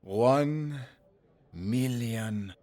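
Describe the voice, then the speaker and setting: A man's voice: mid-range voice, drawn-out words, a little mellow. A man playing a teasing, mercenary outlaw comrade in an audio drama, mocking and dropping in Spanish words. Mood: calculating. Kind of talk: audio roleplay voice acting.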